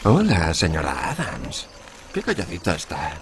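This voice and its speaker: A man's voice: sits low, huge pitch swings, unhurried, noisy background, exaggerated voice. A man acting in a radio play as a disturbed, mother-obsessed grave robber, childlike and pleading. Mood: creepy tender. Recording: music behind the voice.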